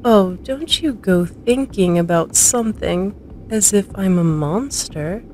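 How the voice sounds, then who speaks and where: A woman acts out a young female huntress in an audio drama, smug and manipulative. She drawls her condescending taunts slowly at a middling pitch. A faint layer of noise sits behind the voice. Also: dark tone, natural voice, poor audio.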